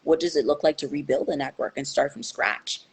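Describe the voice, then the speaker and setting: A woman's voice: low-pitched, fairly quick, husky. A young woman answering interview questions in a thoughtful, hesitant way, with many fillers and restarts. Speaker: guest.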